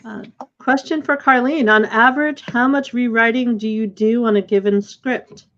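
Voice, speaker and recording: A woman casually moderating a live online session as host, speaking informally and a little haltingly over a lo-fi video call. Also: fairly high pitch, fairly even intonation, a bit bright. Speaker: host of a virtual theater question-and-answer session. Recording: muffled, lo-fi video call audio.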